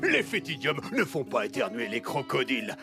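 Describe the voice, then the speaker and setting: An actor voicing a boastful, scheming crocodile ambassador in a cartoon, with a gravelly, gloating delivery. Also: male voice, mid-range voice, very animated, moderate pace. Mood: oily friendly. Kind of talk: French cartoon dubbing. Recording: music under the dialogue.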